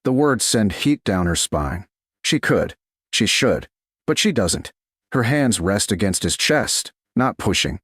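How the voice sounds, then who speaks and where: A man reading scripted romance fiction as an audiobook narrator, in measured, dramatic short phrases. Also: lowish voice, big pitch swings, fairly bright tone, breathy edge, no echo, clean studio sound.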